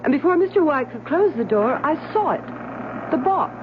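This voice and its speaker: An actress playing an observant, suspicious wife in a vintage radio drama, with a probing, pointed, theatrical manner. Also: female voice, sits high, expressive, normal speed, background noise. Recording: tinny vintage radio recording, music behind.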